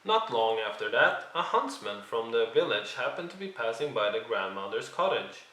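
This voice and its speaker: Male storyteller for children's read-alongs: expressive, forceful bright tone, roomy echoing sound. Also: medium pitch, moderate pace. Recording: roomy, distant microphone.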